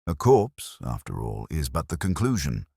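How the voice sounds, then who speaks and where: A man voicing a cool, incisive Victorian gentleman detective in an audiobook, lecturing formally and precisely. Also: extremely low pitch, huge pitch swings, moderate pace, vocal fry, slightly rough, no echo, clean studio sound, heavily acted. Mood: coolly amused. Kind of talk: audiobook character dialogue.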